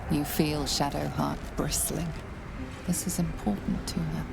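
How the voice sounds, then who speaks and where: A knowing dark fantasy game narrator. Her low voice is heavy with fry, and she tells the tale slowly and solemnly. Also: steady tone, full character voice. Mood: solemn, hushed. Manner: measured, dramatic storytelling. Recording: loud music behind the voice. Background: game soundtrack music.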